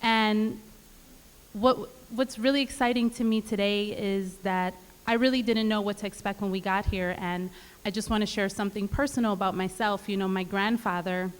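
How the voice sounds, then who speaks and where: A woman's voice: mid-range voice, fairly even intonation, moderate pace, clear, resonant tone. A woman from Puerto Rico, a nurse midwife, introducing herself in a friendly, personal way on a panel. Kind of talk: public panel talk. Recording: reverberant hall.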